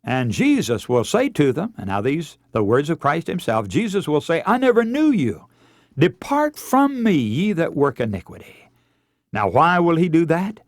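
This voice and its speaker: A man's voice: fairly high pitch, huge pitch swings, normal speed, no echo, studio quality. A man preaching a radio sermon in an earnest, emphatic manner, leaning on stories and rhetorical questions.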